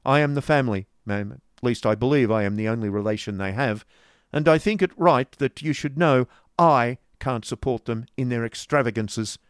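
A male reader playing a blunt, hard, stern gentleman who speaks forcefully and curtly. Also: medium pitch, normal speed, smooth, no echo, clean studio sound, voice pushed up, close to an everyday voice. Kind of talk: audiobook character voice.

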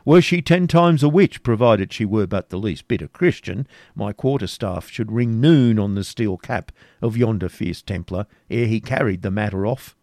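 A man's voice: fairly low pitch, expressive, moderate pace, few pauses, darkish tone, dry recording, clean studio sound. A man voicing a hearty, blustering friar who speaks boisterously and emphatically.

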